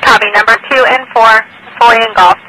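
A woman's voice: fairly high pitch, steady tone, a little fast. An American woman working as a police dispatcher, reading calls back over the radio. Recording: very noisy police scanner radio transmission.

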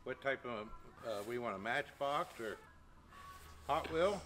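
Bright-voiced old played by a man. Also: mid-range voice, animated, measured pace. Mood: friendly, obliging. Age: older. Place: inside a large party store. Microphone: camera a few feet away.

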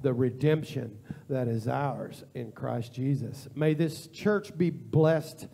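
A man preaching a sermon as a pastor, with informal, repetitive phrasing. He builds to an impassioned near-shout and later drops to a quiet prayer. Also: mid-range voice, takes it slowly, gravelly. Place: church sanctuary.

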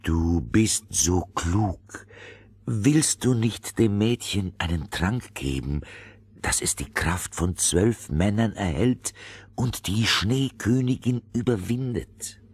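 Husky, slow pleading reindeer. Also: male voice, lowish voice, expressive, no room sound, full character voice. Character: gentle, pleading male reindeer. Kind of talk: fairy-tale audio drama.

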